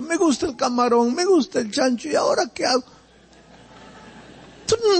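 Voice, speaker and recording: An older man from Peru with a Peruvian Spanish accent, preaching a sermon and telling personal stories, animated and swinging between loud emphasis and quiet asides. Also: extremely high pitch, slightly rough, big-room sound.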